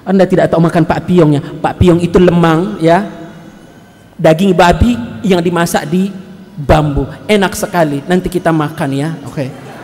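A man in his thirties, a priest giving a lively homily at mass, animated and questioning the congregation, speaking into a headset mic. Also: fairly high pitch, normal speed, slight background noise. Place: large church hall. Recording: long hall reverb.

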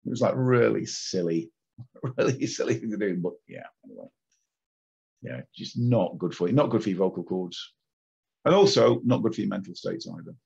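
A man's voice: fairly low pitch, lively intonation, normal speed, vocal fry, darkish tone, breathy edge, muffled recording. A man chatting casually on a live stream, rambling with filler words. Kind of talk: casual chat.

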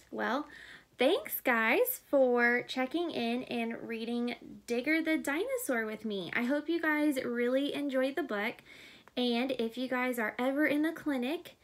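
A young woman, a pediatric therapist, reading a picture book aloud to children and asking them questions, recorded in a small living room at home. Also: sits high. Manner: warm, slow, teacherly, asks kids questions. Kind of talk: children's story time read-aloud.

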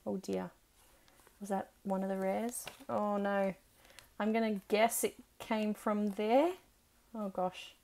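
A woman's voice: medium pitch, flat delivery, slow pace, creaky, dark tone. A woman giving casual, chatty commentary on a card opening, talking to herself and reading out names as she goes. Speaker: trading card collector and video host.

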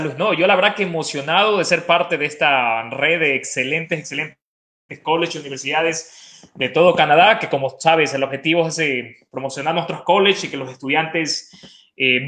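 A man's voice: a little high, bright, forceful tone. A man in his thirties with an Ecuadorian accent, talking enthusiastically and explaining things informally as a college representative on a video call. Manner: enthusiastic, explanatory, repeats words for emphasis. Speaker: college representative, webinar guest. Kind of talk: informal promotional interview talk. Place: home living room with open kitchen, video call. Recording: roomy echo on video call.

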